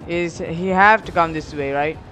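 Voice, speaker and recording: A young man giving casual gameplay commentary in an excitable, slangy, profane way, speaking into a headset. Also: a little high, normal speed, faint music behind. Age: young adult. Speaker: gamer, YouTube host. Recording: clear game gunfire noise behind voice. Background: shooter game gunfire and clicks.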